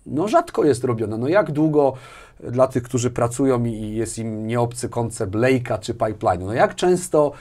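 A man's voice: mid-range voice, moderate pace. A man in his forties explaining in a casual interview, repeating words and often checking with a questioning tag. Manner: animated explaining, repeats words, tags sentences with a questioning "right?". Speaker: interview guest, sales executive. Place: small furnished indoor studio. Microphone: lapel mic.

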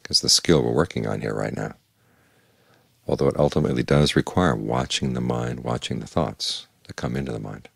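An older man gives an evening meditation talk with calm, measured patience. His voice sits extremely low, with a gravelly, husky grain. The sound is close and dry, with no room around it. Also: no echo. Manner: calm, measured, plain-spoken teaching. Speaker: Buddhist monk giving a Dhamma talk.

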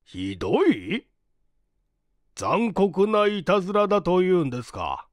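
Man acting out rich old gent in an audiobook, pompous n pleased with himself, takes his time, really animated. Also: mid-range voice, a little slow, dry recording, clean studio sound, voice pushed up. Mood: baffled. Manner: leisurely, pompous, old-fashioned speech. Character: genial, self-satisfied well-off older gentleman, naive. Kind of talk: dramatized literary reading.

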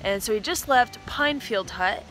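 A young woman with a slightly high voice, talking casually into a phone held at arm's length outdoors. She is chatty and upbeat, trailing off with little fillers. Music plays clearly underneath. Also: animated, unhurried, low-quality recording. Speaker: thru-hiker vlogger. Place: outdoors by a road in green woods. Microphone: phone camera at arm's length. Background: background music.

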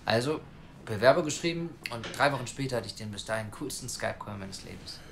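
A cocky young man in an audio drama, speaking at a medium pitch. He is defiant, blunt and coarse in a natural, conversational way, with faint noise behind him. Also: expressive, quick pace, creaky, a bit bright. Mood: breezy, confident. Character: brash, provocative young man pleading to adopt a child.

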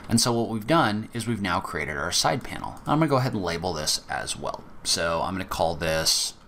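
A man teaching a software tutorial, explaining each step methodically in a casual way with occasional fillers. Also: low voice, very animated, a little fast, slight background noise. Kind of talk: screen-recorded software walkthrough.

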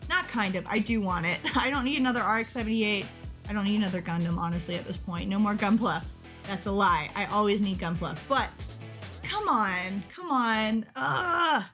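Tired, excitable young woman rambling. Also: mid-range voice, moderate pace, faint music behind. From United States. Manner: excitable, rambling, self-interrupting, laughs often. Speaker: model kit vlogger. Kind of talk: casual chat over a webpage.

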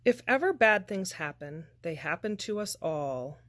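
A woman reading a children's picture book aloud with deliberate, expressive, measured phrasing. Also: lowish voice, dry recording. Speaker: storybook reader.

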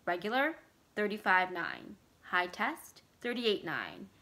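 A woman's voice: mid-range voice, wildly swooping pitch, slow, sharp tone. A middle-aged woman reading a story aloud as narrator. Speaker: library staff reader. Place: small furnished room indoors.

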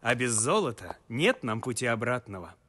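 An actor playing a young, earnest, simple-hearted folk hero in an animated film, speaking in solemn, old-fashioned folksy phrases. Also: male voice, medium pitch, moderate pace, over loud music, close to an everyday voice. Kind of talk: animated film acting, folk-tale speech.

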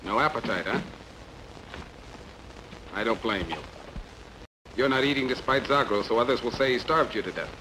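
An actor playing a loyal, earnest soldier in a dubbed sword-and-sandal film. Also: male voice, medium pitch, normal speed, long pauses, background noise, natural voice. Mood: coaxing. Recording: film dub under very loud music.

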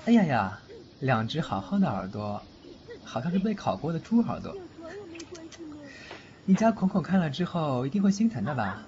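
A man's voice: fairly high pitch, very animated, normal speed, long pauses, a little mellow. A man playing a teasing godfather versed in spirit magic, in a theatrical audio drama style. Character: teasing, age-shy godfather who knows spirit magic. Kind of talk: Chinese audio drama acting. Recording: muffled lo-fi, faint noise.

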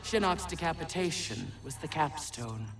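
An actor playing a solemn, imperious dark god in a video game, speaking formally and pompously. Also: male voice, fairly high pitch, expressive, normal speed, recorded from a distance, faint music behind. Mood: cold, imperious. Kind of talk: dramatic video game cutscene acting.

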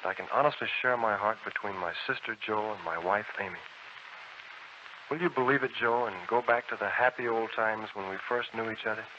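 An actor playing a boyish, affectionate young gentleman in a playful, sincere 1940s radio drama style. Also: fairly low pitch, a little fast, close to an everyday voice. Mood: sincere, tender. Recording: muffled, noisy 1940s radio recording.

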